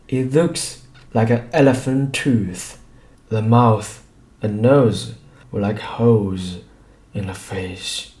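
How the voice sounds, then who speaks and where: A man reading an audiobook story in a deliberate way, pausing between short phrases. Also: lowish voice, a little mellow, echoey room. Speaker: audiobook reader. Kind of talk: scripted story narration.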